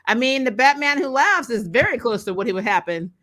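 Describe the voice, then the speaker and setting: A woman co-hosting a casual comic book chat, telling things in an animated, sarcastic way with mild swearing. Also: fairly high pitch, brisk. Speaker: co-host of a comic book discussion stream. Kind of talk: casual fan chat, reading and answering viewer comments.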